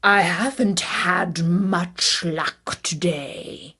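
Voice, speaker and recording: Woman playing a slow, gloating, scornful dragon. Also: fairly low pitch, pushed and bright, slightly rough, heavily acted. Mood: smug. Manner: slow, drawn-out, gloating delivery. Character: scornful, boastful male dragon who loves cunning riddles.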